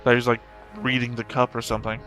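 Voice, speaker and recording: A man's voice: medium pitch, little pitch movement, fast, a bit bright, faint music behind. A man giving casual, off-the-cuff commentary as the host of a reaction show.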